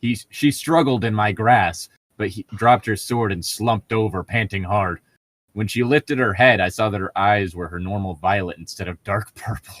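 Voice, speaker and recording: A man's voice: fairly low pitch, normal speed, fairly bright tone, dry recording. A man reading fan fiction aloud in a casual group, breaking off for sarcastic, nitpicking comments.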